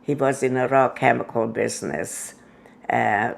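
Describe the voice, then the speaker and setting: A woman in her nineties, from Hungary, telling her life story slowly in an interview, with many pauses and fillers. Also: extremely low pitch, creaky. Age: 90s. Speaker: interview guest. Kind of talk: oral history interview.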